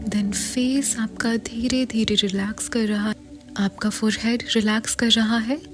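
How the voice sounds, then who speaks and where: A woman with an Indian accent leads a guided meditation in a soft, soothing, instructional way, often slipping toward a breathy whisper. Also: on the high side, little pitch movement, measured pace. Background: background music.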